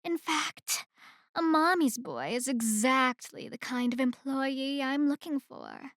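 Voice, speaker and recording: Slow, high-voiced haughty female boss played by an actress. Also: expressive, fairly bright tone, no echo, studio quality, full character voice. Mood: condescending. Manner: condescending, deliberate, mocking. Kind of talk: ASMR roleplay acting. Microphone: close microphone in a dry room.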